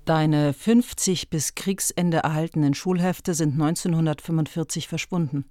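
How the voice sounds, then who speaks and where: A man narrating a literary radio play in measured, clipped poetic phrases, as if addressing its subject directly. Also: fairly high pitch, steady tone, a little mellow, dry recording, clean studio sound, soft background music.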